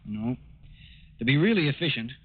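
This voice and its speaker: An actor playing a grave, methodical lab examiner in a 1940s radio drama, explaining in a deliberate way. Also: male voice, medium pitch, big pitch swings. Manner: deliberate, expository. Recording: tinny old-time radio recording.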